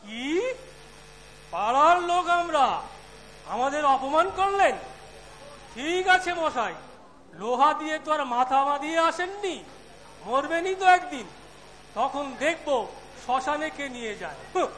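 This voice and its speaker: A man acts a vain, flattering middle-aged neighbour in an amateur stage play. The voice is sharp and very high, hesitant and wheedling. There is hall reverb and a faint noise. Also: a little slow, pauses often, full character voice. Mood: offended. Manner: hesitant, ingratiating. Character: dandyish, wheedling middle-aged male neighbour, quick to take offence. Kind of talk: amateur stage audio-drama acting. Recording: echoing hall, faint hiss or hum.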